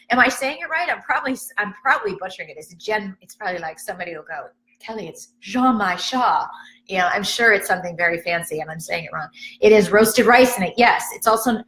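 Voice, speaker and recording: A woman's voice: medium pitch, pushed and bright. Chatty woman live-streaming from an echoey room. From Chicago area. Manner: chatty and rambling, self-deprecating, reacting to viewer comments. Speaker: fitness vlogger hosting a live stream. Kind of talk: casual live-stream chat to viewers. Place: at home, indoors.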